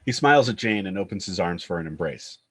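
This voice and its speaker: A man's voice: deep voice, animated, quick pace, a little hiss or hum. A man reading stage directions as the narrator of a screenplay table read.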